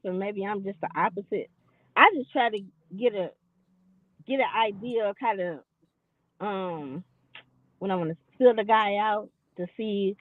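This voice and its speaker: A woman's voice: mid-range voice, no echo, narrow, tinny audio. A woman in her late forties chatting candidly and loosely in a casual talk, self-deprecating and rambling as she explains herself. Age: 40s. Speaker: podcast guest. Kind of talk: casual chat.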